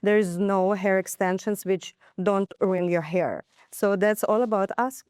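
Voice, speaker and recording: A woman's voice: medium pitch, fairly even intonation, measured pace, darkish tone, no room sound. A woman with a Ukrainian accent, a beauty studio founder, talking eagerly as a guest in a TV studio, with slightly non-native phrasing. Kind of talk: casual studio interview.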